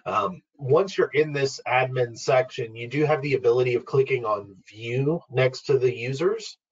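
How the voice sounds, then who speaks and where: A man presenting an informal, step-by-step software walkthrough in an explanatory, instructional way, as a webinar trainer. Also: mid-range voice, moderate pace, slightly rough, muffled recording. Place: online webinar screen-share.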